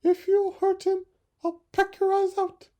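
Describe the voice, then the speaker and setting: A man voicing a protective, fretful mother bird in an urgent falsetto for a children's storybook. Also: monotone, a little slow, warm tone, lo-fi sound. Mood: distressed.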